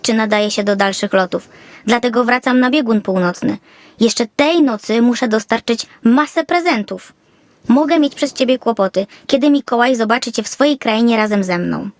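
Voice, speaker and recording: Woman voicing a grumpy, fretful little Christmas elf, high-pitched. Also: moderate pace, no room sound, close to an everyday voice. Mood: reluctant, worried. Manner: fretful, grumbling, exclaiming. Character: small grumpy, nervous male Christmas elf. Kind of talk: children's audio fairy tale acting.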